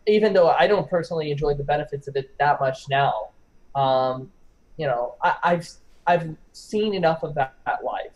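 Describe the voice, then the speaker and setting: Young male panelist, fairly high voice, casual, halting, hedging delivery with loose swearing. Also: dull audio. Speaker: discussion participant. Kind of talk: casual chat. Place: online group call.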